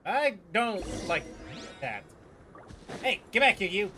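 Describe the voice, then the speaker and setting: A man's voice: sits high, very animated, slow pace. A man giving casual, slangy live commentary as he streams a game, reacting as he goes. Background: game music.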